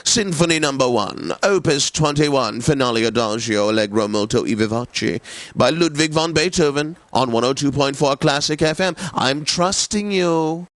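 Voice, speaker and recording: An actor playing a wealthy, self-satisfied, effete classical radio DJ in a video game, with affected, precise enunciation and theatrical announcing. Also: male voice, medium pitch, lively intonation, moderate pace, a bit bright, no echo, close to an everyday voice. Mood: haughty, genteel. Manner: pompous, affected, precise enunciation, savoring words.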